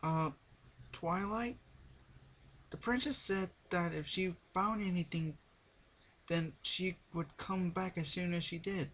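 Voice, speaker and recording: A man's voice: high-pitched, slow pace, long pauses, bright, forceful tone, slight background noise, pitched above the performer's own voice, heavily acted. A man voicing a hesitant young male dragon helper in a dramatic story reading.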